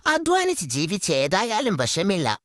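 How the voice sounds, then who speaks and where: Woman as sly male fox, lazy schemer, fake healer, mock-authoritative, very lively intonation, kids' cartoon. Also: mid-range voice, normal speed, dry recording, clean studio sound. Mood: grumbling, scheming. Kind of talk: children's cartoon story character.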